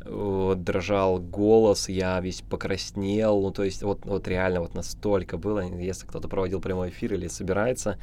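A man giving informal motivational advice as a podcast coach, halting and repeating himself, often checking in with the listener. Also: low-pitched, little pitch movement, a little mellow, slight background noise.

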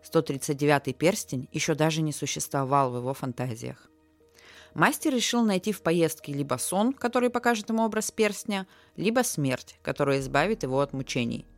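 A woman with a slightly low voice narrates a fairy tale over music. Her reading is measured and expressive, in the manner of a storybook told aloud. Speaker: storyteller, podcast host.

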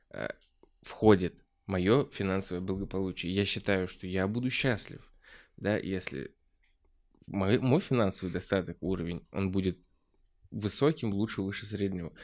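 A man talking casually in a podcast discussion, explaining his views earnestly and emphatically. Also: fairly low pitch.

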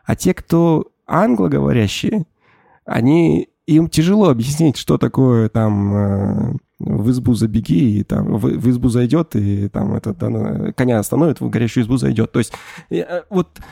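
A man who creates and voices an animated channel, answering interview questions in a rambling, casual way with many fillers and restarts. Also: medium pitch, moderate pace, creaky, dry recording, studio quality.

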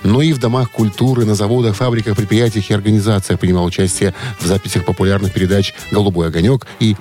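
A man's voice: on the low side, steady tone, fast, a little mellow, soft background music. A man hosting a radio quiz in a casual, chatty, hesitant and informal manner. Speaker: radio show host running a music quiz.

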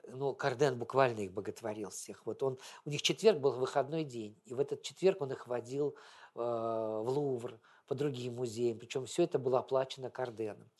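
A man's voice: mid-range voice, darkish tone. A man giving an informal lecture on theatre history, talking in a rambling, digressive, conversational way full of anecdotes.

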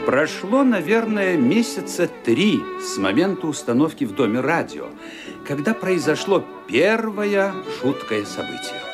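Guy narrating a radio drama, highish voice, super expressive, music playing behind. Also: measured pace. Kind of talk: scripted dramatic storytelling.

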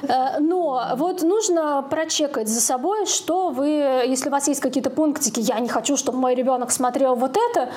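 A woman's voice: sits high, moderate pace, few pauses, a little mellow. A woman co-hosting a podcast, talking casually and frankly in a joking, colloquial way. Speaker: podcast co-host. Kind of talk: casual discussion with personal anecdotes.

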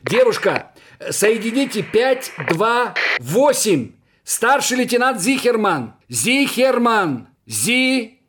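A high-pitched, earnest army lieutenant acted by a man in a radio drama. He talks in clipped, dutiful military phrases over clearly noticeable background noise and small clicks. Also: sing-song, takes it slowly, exaggerated voice. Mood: dutiful, brisk. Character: earnest young male Soviet senior lieutenant, dutiful and firm. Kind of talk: radio play acting.

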